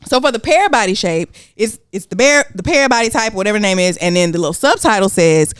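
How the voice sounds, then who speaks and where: Lively Southern woman chatting casually. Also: mid-range voice, fast talker, clean tone, no room sound, clean studio sound. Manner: animated, colloquial storytelling with slang and cursing. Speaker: podcast co-host. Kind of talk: casual chat.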